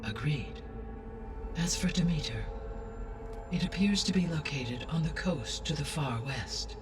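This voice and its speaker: An actor voicing a calm, benevolent artificial intelligence in a video game, speaking formally and precisely in measured phrases. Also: medium pitch, far from the mic, over music, lightly acted. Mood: composed, helpful. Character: calm, benevolent female-named artificial intelligence overseeing Earth. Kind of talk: formal scripted game dialogue.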